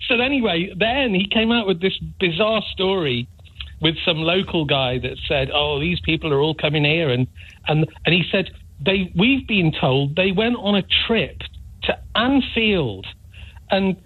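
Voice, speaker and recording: A man calling in to a radio phone-in, telling a gossipy, animated story and giggling as he goes. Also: high-pitched, measured pace, husky. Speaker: caller. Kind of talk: chatty phone-in call. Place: Taunton. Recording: phone line.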